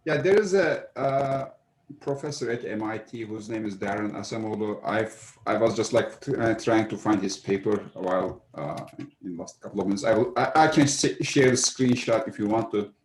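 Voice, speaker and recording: A man giving an economist's view in an online seminar, explaining carefully with many pauses. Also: on the low side, slight background noise. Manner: explanatory, hesitant, many uhs. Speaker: economics panelist. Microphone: video call. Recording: echoey room.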